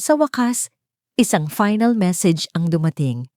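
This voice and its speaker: Female Filipino storyteller for scripted drama narration; lower register, soft dark tone, close dry recording. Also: expressive, a little slow, no room sound.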